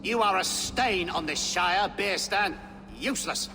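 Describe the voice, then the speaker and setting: A man's voice: high-pitched, measured pace. An actor playing a scornful, belligerent Saxon nobleman in a video game, sneering and accusatory. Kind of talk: dramatic video game dialogue. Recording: echoey hall reverb with music.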